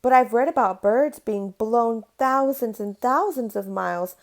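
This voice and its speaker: A woman's voice: a little high, a little slow, voice pushed up. A woman voicing an adventurous, imaginative young boy in a children's storybook, with earnest, simple delivery. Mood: thoughtful.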